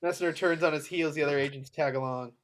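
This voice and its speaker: A man reads screenplay stage directions as narrator. His voice sits slightly high, following scene headings and action at a table read. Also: steady tone, fast, slight background noise.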